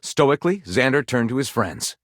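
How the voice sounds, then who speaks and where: A man acting as a theatrical male storyteller from a video game, spinning absurd pulp detective tales in total mock seriousness. His pitch swings widely from line to line for maximum melodrama. The recording is dry and close, with no room around the voice. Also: big pitch swings, moderate pace, no room sound, close to an everyday voice. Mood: heroic drama. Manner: dramatic, mock-serious delivery with big swings. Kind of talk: theatrical scripted game narration.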